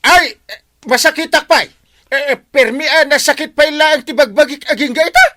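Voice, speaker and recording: A man's voice: very high voice, moderate pace, pushed and bright, a little hiss or hum. A man playing a lazy village captain who feigns illness, whining and stammering theatrically with an Ilocano accent in a comic radio drama. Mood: whining, feigning.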